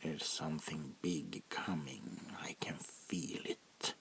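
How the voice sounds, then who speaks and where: A man playing a haughty, centuries-old French vampire prince in a soft-spoken, half-whispered ASMR roleplay, speaking deliberately and with condescension. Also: very deep voice, level tone, exaggerated voice. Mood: brooding, wary. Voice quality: gravelly, breathy half-whisper. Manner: slow, deliberate, condescending, drawn-out pauses.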